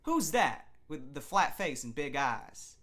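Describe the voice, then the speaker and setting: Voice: medium pitch, very animated, slow, a bit bright. A performer voicing a cranky, blunt old grandfather who grumbles and swears, in a dramatic fan-fiction readthrough over music.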